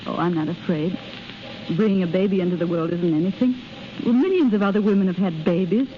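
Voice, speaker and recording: A woman's voice: lowish voice, a little fast, natural voice. Actress doing tender determined young mother-to-be, earnest old radio drama acting, muffled vintage recording. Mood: earnest, pleading. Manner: earnest, emotional. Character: tender, resolute young expectant mother running away.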